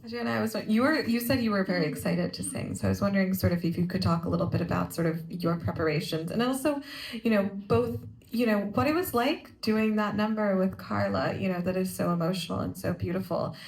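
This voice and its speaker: Gravelly-voiced woman moderator. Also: medium pitch, fast, darkish tone. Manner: rambling, restarting questions. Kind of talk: asking panel questions. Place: theater stage at a premiere. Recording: echoey theater, faint hum.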